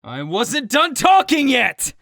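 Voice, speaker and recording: A man's voice: very high-pitched, wildly swooping pitch, takes it slowly, gravelly, sharp tone, dry recording, studio quality, heavily acted. A man voicing a bitter, hot-tempered young student in a comic dub, scolding and contemptuous. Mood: enraged.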